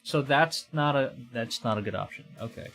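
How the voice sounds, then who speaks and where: A male game streamer from the Philippines with a mid-pitched voice reads a visual novel aloud. He moves between dramatic narration and muttered asides. Game music plays behind him. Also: normal speed, a bit bright. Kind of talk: game stream, reading story text aloud with reactions.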